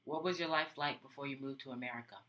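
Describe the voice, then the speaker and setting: A man asking interview questions in a brisk, direct way. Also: medium pitch, sharp tone.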